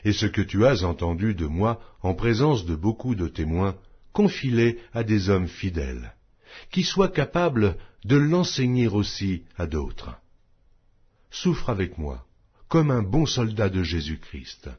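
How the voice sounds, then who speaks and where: Husky, low-voiced man reading scripture. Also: very animated, unhurried, muffled recording. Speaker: Bible audiobook narrator.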